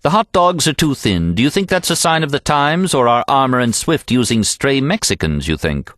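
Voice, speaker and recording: A man's voice: medium pitch, big pitch swings, moderate pace, fairly bright tone, no room sound, clean studio sound, pitched above the performer's own voice. A man voicing an odd, eccentric male party guest in an audiobook, with a quizzical manner. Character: odd, ungraspable male party guest making strange remarks. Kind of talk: audiobook character reading.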